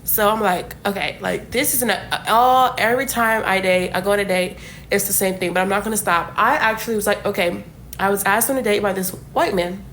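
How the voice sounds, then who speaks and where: A woman's voice: medium pitch, a little fast, fairly bright tone, slight background noise. A woman in her twenties telling a dating story in a casual, rambling way, full of fillers and asides. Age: 20s. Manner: chatty, many fillers and asides.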